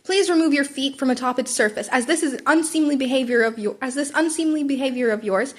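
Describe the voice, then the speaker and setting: A woman's voice: sits high, quick pace. A woman reading the part of an indignant, rule-bound schoolboy in formal, pompous language.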